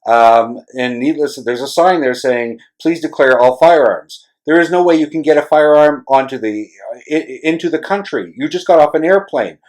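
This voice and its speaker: A man's voice: fairly high pitch, moderate pace, darkish tone, rough recording. A man hosting a casual interview, talking in a rambling, hesitant way and building up to long questions. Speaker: podcast host interviewing a travel writer.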